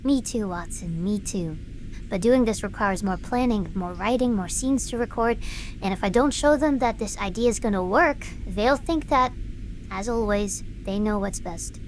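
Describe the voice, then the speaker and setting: A woman's voice: medium pitch, moderate pace, a little hiss or hum, natural voice, low-quality recording. A woman playing a defiant young performer in an audio drama, intense, stubborn and earnest. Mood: eager, earnest. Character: driven, defiant young woman performer, bitter but passionate.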